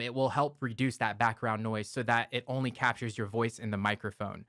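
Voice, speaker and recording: A man of medium pitch walks through studio gear in a relaxed, step-by-step way, with the odd filler word. He talks close into a broadcast mic in a home studio, and the sound is dry with no room echo. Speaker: audio gear reviewer. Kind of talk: explanatory gear walkthrough. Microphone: close broadcast dynamic mic.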